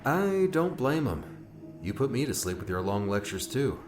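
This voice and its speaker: A man's voice: medium pitch, very animated, moderate pace, natural voice. An actor playing an earnest, questioning young man in an audiobook drama. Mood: teasing. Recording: roomy echo, music behind the voice.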